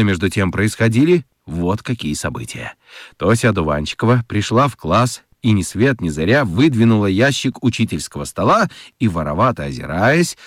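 A man narrating a children's radio story in an expressive, scripted storytelling style. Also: medium pitch, dry recording, studio quality.

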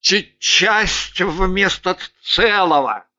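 A woman's voice: on the low side, animated, bright, forceful tone, lo-fi sound, heavily acted. A woman voicing a very drunk man in a story, a pedantic, obliging husband who slurs and halts self-importantly. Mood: helpful. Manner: slurred, halting, self-important drawl.

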